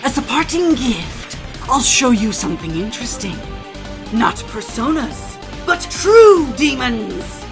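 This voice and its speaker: With music clearly behind, a woman voices a masked video game villain, cold, mocking and vengeful. The lines come slowly and theatrically in a fairly high register. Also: very animated, heavily acted. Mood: taunting. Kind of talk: dramatic video game monologue reading.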